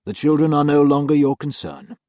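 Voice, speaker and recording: A man voicing a stern gentleman employer who speaks harshly and curtly. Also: a little high, expressive, brisk, no room sound, clean studio sound, pitched above the performer's own voice, close to an everyday voice. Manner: harsh, curt, adamant.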